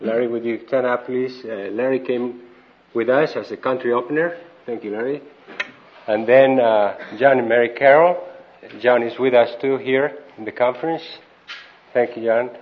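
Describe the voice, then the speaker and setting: A man raised in Colombia speaking at a conference, plain and unhurried with dry humor. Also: mid-range voice, slight background noise. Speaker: missionary speaker. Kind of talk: conference talk. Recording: dull lo-fi recording.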